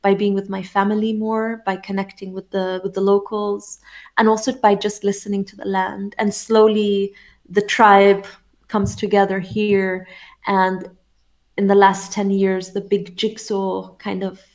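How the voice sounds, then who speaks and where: A woman from England talking as an interview guest, reflective and soft-spoken, with frequent laughs. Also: mid-range voice, little pitch movement, unhurried. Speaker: interview guest, shamanic teacher. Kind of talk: casual interview conversation.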